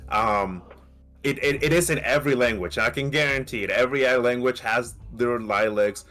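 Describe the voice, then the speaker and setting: A man with a light Mexican accent who co-hosts a podcast. He argues in a casual, animated way, often restarting and asking "right?". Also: medium pitch, moderate pace. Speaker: podcast co-host. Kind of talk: casual debate.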